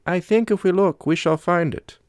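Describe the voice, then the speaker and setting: A man acts out an uneasy male traveler from a horror story, pitched well up high. He sounds shaken and hesitant, in a dry, close recording. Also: normal speed, dry recording, natural voice. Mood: urgent. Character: Swedish male travel companion, shaken, eerily relieved. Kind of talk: audiobook horror story character dialogue.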